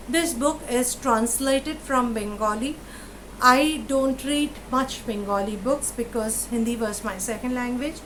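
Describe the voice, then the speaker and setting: A woman's voice: fairly high pitch, a little hiss or hum, rough recording. Indian woman chatting about books. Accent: Indian English. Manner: hesitant, many fillers and restarts. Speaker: book vlogger. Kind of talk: casual book recommendations.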